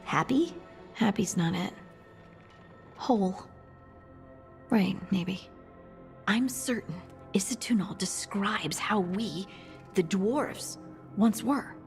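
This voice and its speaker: An actress playing an earnest, searching female dwarf scholar in a fantasy video game, halting as she thinks aloud. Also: mid-range voice, gravelly. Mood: wistful, unsettled. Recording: game music behind the voice.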